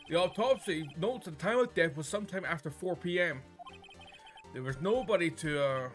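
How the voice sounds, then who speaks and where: A man's voice: a little high, a bit bright. A man voicing an earnest, doubting young defense lawyer in a visual novel game, pointed and questioning. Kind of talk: visual novel game dialogue read aloud. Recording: game music behind the voice.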